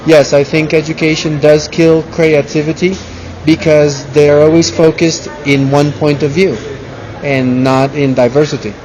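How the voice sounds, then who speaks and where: Man, early forties, raised in the United States, lightly high pitch, interviewee, busy crowd. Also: flat delivery, normal speed, rough recording. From born in Colombia, raised in the United States. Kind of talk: interview answers. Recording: busy public place, crowd noise. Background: crowd noise.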